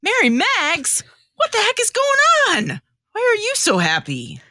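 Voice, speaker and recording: A woman's voice: very high-pitched, wildly swooping pitch, a little slow, pushed and bright, dry recording, studio quality, heavily acted. A woman acting an anxious, caring, awkward male disciple in a radio sitcom, comic and slangy. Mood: bewildered. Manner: comic, hesitant, casual modern slang.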